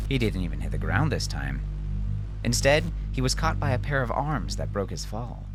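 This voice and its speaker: A man with a low voice narrates a dramatic story. His delivery is measured and deliberate, in the manner of a scripted audiobook.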